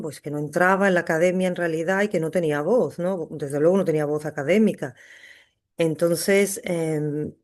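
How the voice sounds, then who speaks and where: A woman's voice: low-pitched, a little mellow. A woman giving an academic talk over a video call, hesitant, with many fillers and restarts. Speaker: psychotherapist and researcher giving an online talk.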